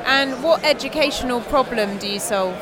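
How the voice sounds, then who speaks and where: A woman hosting a podcast, asking brisk, encouraging questions at a conference. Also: high voice. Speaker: podcast host, interviewer. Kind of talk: roving interview at a conference. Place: conference hall. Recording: busy crowd at a conference.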